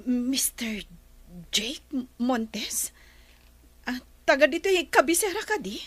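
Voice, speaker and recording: A woman's voice: high-pitched, very animated, slow, a bit bright, heavily acted. A woman with a Filipino accent playing a tender, troubled mother in a radio drama. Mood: shaken. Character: troubled, tender mother hiding a painful past.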